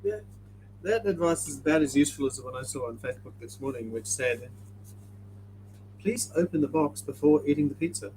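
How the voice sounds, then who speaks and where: A man's voice: fairly high pitch, expressive, brisk, a little mellow, a little hiss or hum, low-quality recording. A man off camera making dry, teasing remarks.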